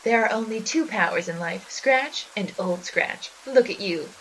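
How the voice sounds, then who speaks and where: A woman's voice: medium pitch, lively intonation, a little slow, a bit bright, rough recording. A menacing female spider monster played by a woman gloats and taunts in theatrical flapper slang. It is a villain from a 1920s-style radio play, with noticeable noise behind the voice. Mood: menacing, scornful. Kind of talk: 1920s gangster slang radio drama acting.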